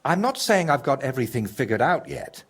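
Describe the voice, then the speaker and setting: An actor playing a troubled, scheming male painter in a video game, excitable and theatrical, with a husky voice. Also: mid-range voice, fairly quick. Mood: gleeful scheming. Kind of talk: video game character dialogue.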